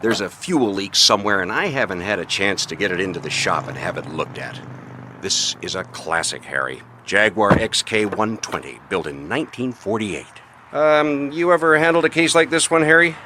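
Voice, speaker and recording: A man playing a brisk, self-assured restaurant tycoon in a radio detective drama, emphatic and quick to object. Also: fairly low pitch, expressive, background noise, close to an everyday voice. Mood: businesslike.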